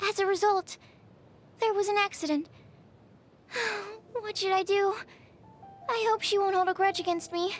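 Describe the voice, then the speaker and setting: A woman's voice: high-pitched, unhurried, long pauses. A woman voicing a shy, anxious, earnest young alchemist in a video game, with a timid, hesitant, bookish manner. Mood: flustered, worried. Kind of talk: video game character voice lines. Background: music.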